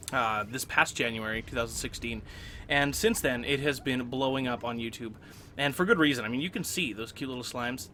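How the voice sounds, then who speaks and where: A young man hosting a casual gameplay commentary, chatty and upbeat, getting over a cold and clearing his throat often. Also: medium pitch, bright, forceful tone, slight background noise. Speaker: let's play host.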